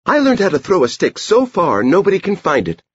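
A man acts out a scheming little girl from an audiobook story, eager and bossy. The voice jumps high and cajoles insistently, on a slightly muffled recording. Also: huge pitch swings, brisk, a little hiss or hum.

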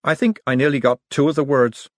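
A man voicing a sharp, dry-witted young woman who speaks crisply and sardonically. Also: mid-range voice, fairly even intonation, a little fast, dry recording, voice pushed up, close to an everyday voice. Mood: wry.

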